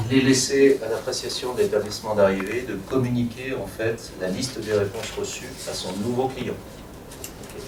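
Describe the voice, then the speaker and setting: A man presenting a technical workshop to a room of attendees, explaining step by step with frequent fillers and light asides. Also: lowish voice. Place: conference room. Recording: echoey room from far off, audible noise.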